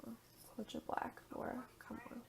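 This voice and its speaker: A young woman, raspy and tired after a long day, chatting casually as she demonstrates an art journaling technique and sometimes murmuring to herself. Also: normal speed, in a whisper. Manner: chatty, thinks aloud while working, drops to a murmur at times. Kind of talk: casual crafting tutorial.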